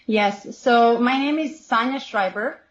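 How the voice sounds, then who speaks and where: A woman in her forties with a Serbian accent, a guest researcher speaking in a steady, informative way. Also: on the high side, measured pace, slight background noise. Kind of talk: podcast interview. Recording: dull, muffled audio.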